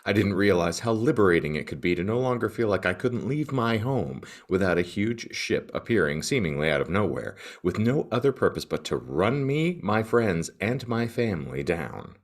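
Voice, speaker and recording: A man with a gravelly morning voice hosting a solo podcast, talking and reading aloud in a wordy, florid, tongue-in-cheek manner. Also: lowish voice, very animated, moderate pace, hardly any pauses. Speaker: podcast co-host. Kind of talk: solo podcast monologue, comic reading of reviews.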